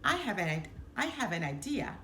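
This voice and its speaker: A woman's voice: medium pitch, very animated, moderate pace, sharp tone. A woman voicing a sly, confident male fox hustler from a storybook, with a glib salesman's manner. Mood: smug, incredulous. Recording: roomy echo, some background noise.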